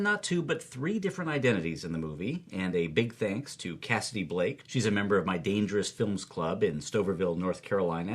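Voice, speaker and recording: Man, film lecturer, low husky voice, animated pitch, enthusiastic, faint music.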